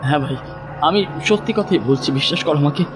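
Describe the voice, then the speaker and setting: A man's voice: fairly high pitch, natural voice. An actor playing a terrified, insistent young city man who stammers and repeats himself in a horror audio drama. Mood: frightened, insistent. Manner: stammering, halting, repeats words. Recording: loud music over the voice.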